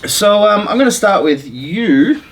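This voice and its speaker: A man's voice: high voice, animated, measured pace, a little hiss or hum. A man hosting a casual gaming podcast, explaining things in a loose, informal way. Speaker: podcast host.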